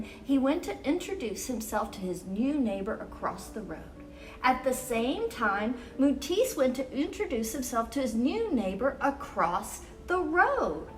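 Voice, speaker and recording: A woman reading a picture book aloud to children as a storyteller, expressive and exclamatory, with dramatic emphasis on key words. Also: high-pitched, recorded from a distance. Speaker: children's storyteller and event host. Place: University museum gallery.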